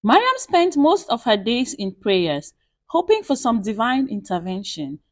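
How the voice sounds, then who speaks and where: A woman telling a dramatic scripted story with expressive, deliberate phrasing. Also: fairly high pitch, no echo. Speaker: storyteller and channel host.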